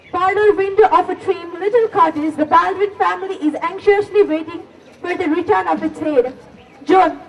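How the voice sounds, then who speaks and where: A female narrator reading scripted scene-setting narration for a school stage play. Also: extremely high pitch. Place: school stage, recorded from far off. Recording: distant microphone pickup.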